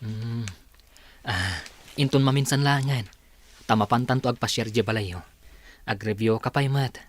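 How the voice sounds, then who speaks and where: A man's voice: mid-range voice, unhurried, natural voice. A man with an Ilocano accent playing a kind, patient young worker in a radio drama. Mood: apologetic.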